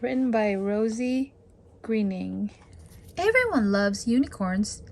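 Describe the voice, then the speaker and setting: Woman reading slowly in a lively sing-song. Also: a little high. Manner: sing-song, addresses children directly. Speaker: storytime read-aloud host. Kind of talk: picture book read-aloud for children.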